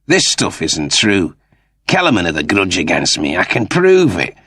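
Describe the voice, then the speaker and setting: Man playing a guarded, husky space crewman. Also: sits low, huge pitch swings, normal speed, pushed and bright, no room sound, clean studio sound, full character voice. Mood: defensive, pleading. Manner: serious, terse. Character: unsmiling, guarded, menacing male space crewman.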